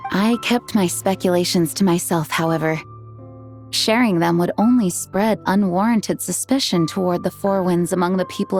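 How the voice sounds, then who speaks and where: A woman playing a dutiful, composed knight commander in a video game, speaking in a measured, formal, courteous way. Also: fairly low pitch, a little mellow, lightly acted. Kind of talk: video game dialogue and story narration. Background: music.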